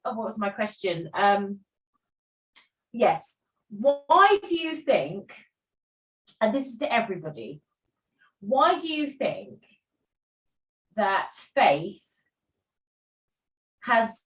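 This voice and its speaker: A woman chairing a community meeting, chatty and quick to laugh, sometimes losing her thread. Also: a little high. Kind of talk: casual meeting chat. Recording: roomy, distant from the mic.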